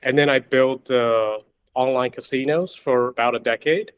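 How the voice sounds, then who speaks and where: A middle-aged man from Boston introducing himself casually as an interview guest, halting often in mid-sentence. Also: mid-range voice, clean tone. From Boston, United States. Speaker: guest, company founder and investor.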